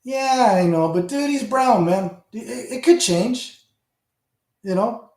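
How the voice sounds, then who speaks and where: Middle-aged Canadian host, Southern Ontario accent, rambling livestream chat with a fairly high voice, recorded in a roomy space. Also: male voice, animated, slow, darkish tone. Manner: rambling, talks back to chat, trails off. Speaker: channel host. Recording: roomy echo.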